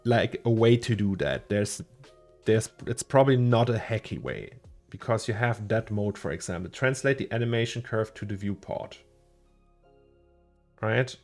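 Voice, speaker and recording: A low, gravelly man with a German accent talks his way through a fiddly software problem on a livestream. He repeats words as he thinks and chuckles between thoughts, with soft music playing behind him. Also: animated, normal speed. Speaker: 3D artist streaming his work. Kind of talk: casual livestream chat while working.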